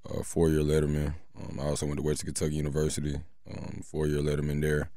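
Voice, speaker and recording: A young man from Atlanta, a football coach, talking about himself as an interview guest in a quick, relaxed way full of fillers. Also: very deep voice, no echo, clean studio sound. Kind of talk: casual interview talk.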